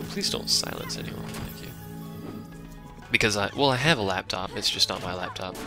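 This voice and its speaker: Deep, gravelly man rambling over gameplay. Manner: rambling, offhand, self-deprecating; chats with a live stream chat. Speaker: let's play streamer. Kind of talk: casual gameplay commentary.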